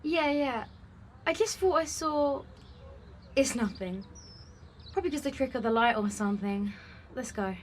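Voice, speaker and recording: A woman's voice: sits high, lively intonation, a little slow, long pauses, slight background noise. A young woman acting in an audio drama as an exasperated, reluctant female video blogger with a sarcastic manner. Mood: distracted.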